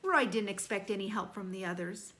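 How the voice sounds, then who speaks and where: Female storyteller for a kids' read-aloud: bright, mid-range voice, expressive and teacherly, leaning on key phrases. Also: medium pitch. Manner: animated storytelling with deliberate emphasis and dramatic slowing. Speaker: teacher reading aloud to children.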